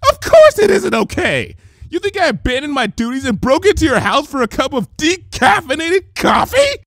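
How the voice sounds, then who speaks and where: A man voicing an irritable, caffeine-starved female unicorn in a cartoon fan story, swinging between low menace and shrieking outrage. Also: wildly swooping pitch, normal speed, creaky, a bit bright, no echo, clean studio sound, heavily acted.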